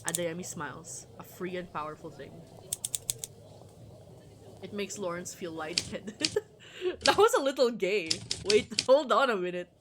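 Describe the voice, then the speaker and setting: A woman's voice: on the low side, measured pace, pauses often, background noise. A woman livestreamer who reads a story game aloud and chats casually, with informal, wry commentary. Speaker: streamer reading a visual novel aloud. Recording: music behind the voice.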